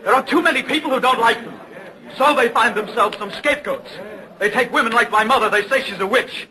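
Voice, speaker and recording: An actor playing an angry, frantic son who protests bitterly and vehemently, in old radio drama style. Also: male voice, very high voice, fairly quick, bright, forceful tone, exaggerated voice, poor audio. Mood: frenzied indignation. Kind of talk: 1940s radio acting.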